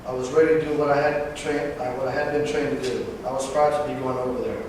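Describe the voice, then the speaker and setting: A man in his twenties from Northern California, a veteran giving a personal talk, halting at times with fillers and self-corrections. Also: mid-range voice, steady tone. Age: 20s. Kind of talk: personal speech read to an audience. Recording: distant, echoey hall recording with background noise.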